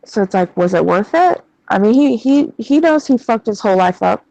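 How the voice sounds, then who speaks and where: A woman's voice: mid-range voice, animated, moderate pace, gravelly, dark tone, dry recording, muffled recording. A woman in her thirties in casual chat, venting bluntly with plenty of swearing. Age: 30s.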